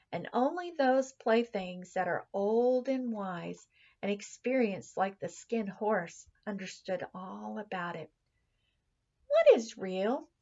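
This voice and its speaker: A woman reading a children's story aloud like a teacher, with expressive, deliberate storytelling in a slightly muffled recording. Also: medium pitch. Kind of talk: scripted children's story narration. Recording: slightly dull, muffled sound.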